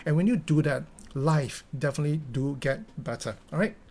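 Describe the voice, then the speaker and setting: A man's voice: fairly high pitch, brisk, a little mellow. A middle-aged Singaporean man with a Singaporean English accent, giving an earnest motivational talk full of fillers and restarts. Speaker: company founder giving a talk.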